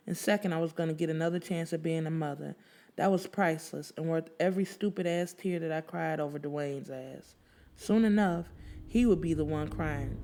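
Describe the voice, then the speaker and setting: A woman's voice: fairly low pitch, a little mellow. A woman narrating a story in the first person with dramatic, emphatic, slangy delivery. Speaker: audiobook narrator.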